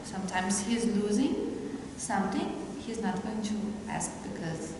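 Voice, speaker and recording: Hesitant Brazilian woman in echoey hall. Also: medium pitch. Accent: Brazilian Portuguese. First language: Portuguese. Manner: hesitant, halting, searching for words. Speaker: parent. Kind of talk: asking a question at a workshop. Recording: echoey hall from far off, noisy.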